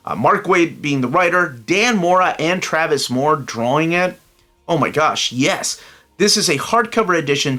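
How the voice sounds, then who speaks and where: A man's voice: on the high side, bright, forceful tone. A middle-aged man from Peru hosting a casual solo video, chatty and enthusiastic, wandering into asides full of fillers. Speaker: comic book collector channel host. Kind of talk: casual solo review vlog.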